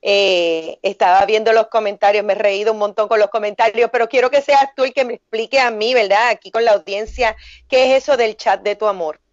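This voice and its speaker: A woman's voice: medium pitch, fairly even intonation, a little fast, no echo. A woman co-hosting an interview show, asking chatty, amused questions. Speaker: co-host. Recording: dry and dull.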